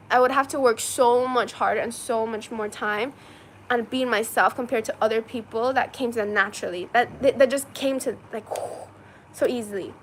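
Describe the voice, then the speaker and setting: Young woman streamer, from China; casual, rambling chat with lots of fillers; slightly high voice. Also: a bit bright, slight background noise. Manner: rambling, heavy use of "like" and "um". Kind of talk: casual chat, reading viewer comments.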